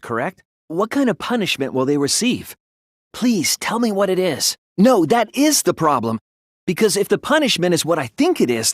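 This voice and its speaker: A man voicing an earnest, sensitive young student detective in an anime video game, with intense, emotional, sometimes halting delivery. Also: sits high, no room sound, studio quality. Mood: urgent, pleading. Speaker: voice actor.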